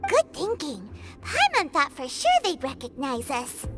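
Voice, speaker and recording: Small cheerful, anxious game fairy. Extremely high, swoopy, childlike. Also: female voice, wildly swooping pitch, unhurried, exaggerated voice. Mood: curious. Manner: speaks of self in third person. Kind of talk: video game voice acting. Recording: music playing behind the voice.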